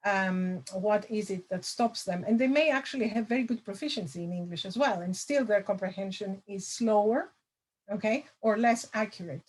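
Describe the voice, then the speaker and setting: A middle-aged woman, a lecturer answering audience questions over a video call, thoughtful with many pauses and fillers. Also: mid-range voice, darkish tone. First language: Greek. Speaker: language assessment lecturer.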